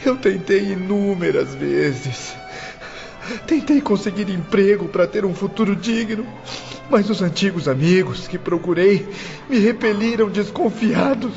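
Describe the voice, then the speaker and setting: A man's voice: sits high, lively intonation, measured pace, creaky, a little mellow, full character voice. A man playing an anguished, bitter young orphan in prison, tearful and declamatory, in an audio drama. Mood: anguished confession. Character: anguished imprisoned orphan son, bitter, grieving, self-pitying young man. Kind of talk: audiobook radio drama acting. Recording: dull muffled audio, music underneath.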